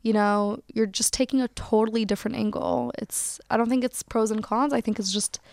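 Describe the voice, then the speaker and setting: A woman's voice: on the high side, steady tone, a little fast, vocal fry, darkish tone, no room sound, studio quality. A young woman with a chatty manner, full of likes and ums, answering interview questions. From United States. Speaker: social media account creator. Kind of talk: casual interview.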